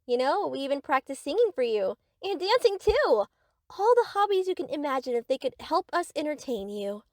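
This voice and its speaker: Woman doing a sweet clingy yandere bestie for asmr roleplay, really high voice, dramatic and bouncy, super doting. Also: sing-song, moderate pace, darkish tone, no room sound, clean studio sound. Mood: obsessive, sweet. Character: sweet, eager, obsessive yandere best friend, young. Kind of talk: anime-style ASMR audio roleplay.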